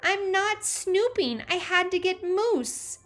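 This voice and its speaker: A woman voicing a bossy seven-year-old girl who scolds loudly and draws out her words. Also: sits high, sing-song, voice pushed up. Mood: shrill scolding. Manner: loud, scolding, drawn-out words.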